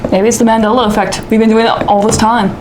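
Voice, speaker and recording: A woman's voice: a little high, little pitch movement, quick pace, noisy background. A woman helping out in a home kitchen, chatting casually in brief interjections. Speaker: cooking helper and co-presenter. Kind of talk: casual chat.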